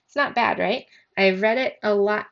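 A self-conscious storybook schoolboy read by a woman, wry and slightly awkward. The voice has a creaky edge and a slightly dull recording. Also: medium pitch, normal speed, close to an everyday voice. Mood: eager.

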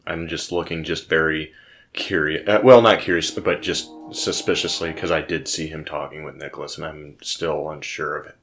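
A man's voice: a bass voice, fairly even intonation, normal speed, a bit bright. A man playing a tabletop game podcast, rambling casually with lots of fillers. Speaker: player.

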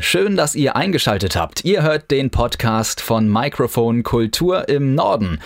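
A man's voice: fairly low pitch, no room sound, studio quality. A young man from northern Germany hosting his own podcast, talking loosely with lots of fillers and restarts. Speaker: podcast host. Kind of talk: casual podcast monologue.

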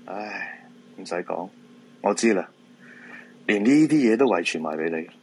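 A man's voice: deep voice, expressive, measured pace, slightly rough, heavily acted, poor audio. A man with a Hong Kong Cantonese accent playing a weary middle-aged feng shui master and father in a radio drama, resigned and down-to-earth. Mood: weary, uneasy.

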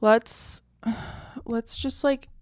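A woman's voice: on the high side, fairly even intonation, extremely slow, a little mellow, dry recording, studio quality. A woman co-hosting a podcast, chatting casually and telling stories informally with quoted asides. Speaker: podcast co-host.

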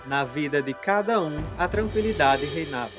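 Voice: mid-range voice, expressive, normal speed. Theatrical radio soap narrator over music.

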